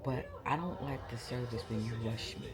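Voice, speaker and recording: A woman's voice: a bass voice, normal speed. New York woman in her fifties vlogging. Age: 50s. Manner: animated storytelling, playful. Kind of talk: casual vlog chat. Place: in a car, then a waiting area at a tire shop. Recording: loud background noise.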